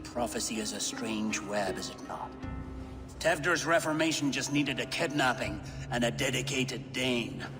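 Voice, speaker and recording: An actor playing a proud, scheming older chieftain in a video game, theatrical and measured, with a wry edge. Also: male voice, mid-range voice, natural voice. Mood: wry, urgent. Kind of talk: dramatic video game acting. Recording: long reverb, music behind.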